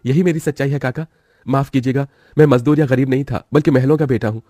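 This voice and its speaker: A man's voice: medium pitch, fairly even intonation, fast, mellow, no echo, clean studio sound, close to an everyday voice. A man playing an earnest, polite young suitor from a rich family in a cartoon moral story. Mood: earnest confession. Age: young adult.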